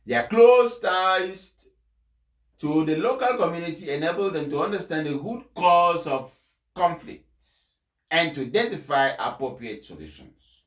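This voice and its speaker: A man reads a formal speech aloud in a solemn oratorical way, pausing emphatically between short phrases. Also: a little high, lively intonation, fairly bright tone. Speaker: reader of an English translation of a papal speech. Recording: echoey room.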